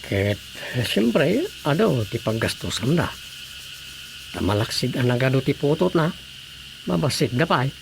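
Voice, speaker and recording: A man's voice: mid-range voice, sing-song, measured pace, gravelly. A man playing a curious, gossiping villager in a conversational radio drama style. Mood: gossipy. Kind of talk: Ilocano radio drama acting. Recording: faint background noise with crickets.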